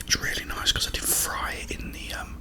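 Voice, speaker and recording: A British man hosting an ASMR ramble in a breathy, unvoiced whisper, chatting casually with slow pauses. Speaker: ASMR video host.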